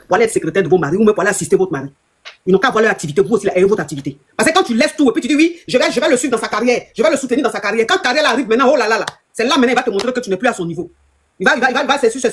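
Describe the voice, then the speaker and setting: A woman's voice: a little high, husky. A woman relationship coach, giving emphatic advice that repeats phrases and scolds her listeners. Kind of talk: advice call-in talk.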